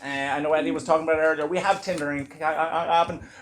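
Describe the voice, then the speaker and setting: A man's voice: a little high, fairly even intonation, racing, fairly bright tone. A young Irish man with a stammer doing a stand-up comedy set in a club. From Ireland. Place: comedy club before a laughing crowd.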